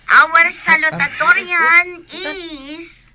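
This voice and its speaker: A woman plays a formal ceremony announcer in a radio drama. Her voice is extremely high and loud, each word stretched out very slowly. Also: steady tone, full character voice. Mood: ceremonious. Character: graduation announcer calling out honours.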